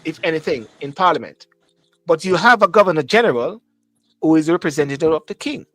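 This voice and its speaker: Emphatic high-voiced Jamaican male host. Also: expressive, fast, fairly bright tone, low-quality recording. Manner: emphatic, repeats phrases for stress. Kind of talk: live call-in talk show discussion.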